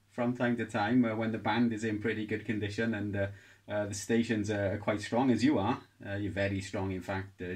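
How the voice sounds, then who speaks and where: A man talking casually as an amateur radio operator, unhurried and repeating phrases for emphasis. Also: medium pitch. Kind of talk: casual ham radio contact. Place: home radio shack.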